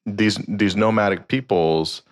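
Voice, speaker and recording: A man hosting a podcast interview, asking halting questions with many restarts and backchannels. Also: lowish voice. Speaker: podcast host.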